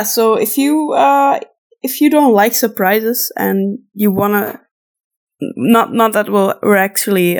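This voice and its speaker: A woman co-hosting a podcast, casually reading and answering questions from listeners. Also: mid-range voice. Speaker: podcast co-host.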